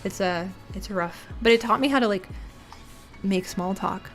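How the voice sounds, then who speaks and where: Brief: young woman podcast host, mid-pitched, chatty, giggly, loose casual delivery. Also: moderate pace, soft background music. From United States. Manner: chatty and giggly, many fillers. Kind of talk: casual streamed interview.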